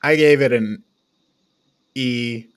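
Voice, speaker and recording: Male podcast host, lower-middle pitch, relaxed panel review chat, recorded dry. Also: slow pace, clear, resonant tone, no echo.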